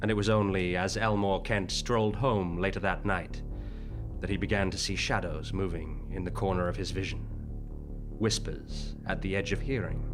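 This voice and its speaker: A man playing a composed doctor who tells the story to the listeners, measured and softly spoken. The voice sits low. Loud music plays behind him in this live theatre recording. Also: fairly even intonation, natural voice. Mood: ominous. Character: calm male doctor who narrates the tale. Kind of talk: live stage radio-play narration.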